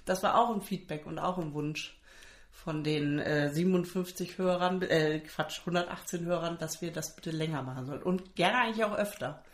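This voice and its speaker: A woman co-hosts a casual podcast with a nasal, stuffed-up voice from a cold, pitched a little low. She talks in a chatty, teasing way and often cuts in. Her voice sounds roomy, with a clear echo. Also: normal speed. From Germany. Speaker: podcast co-host. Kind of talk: casual chat.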